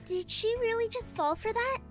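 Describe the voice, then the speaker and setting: A sweet young anime game heroine, hesitant and soft. Her voice is extremely high and gently puzzled, sitting under clear music. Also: normal speed. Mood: gently bemused.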